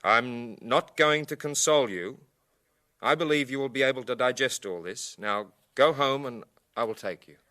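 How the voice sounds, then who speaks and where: A man's voice: medium pitch, normal speed, sharp tone, close to an everyday voice. An actor in a 1980 radio drama playing a stern village man with a blunt, lecturing manner. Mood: firm. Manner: blunt, didactic.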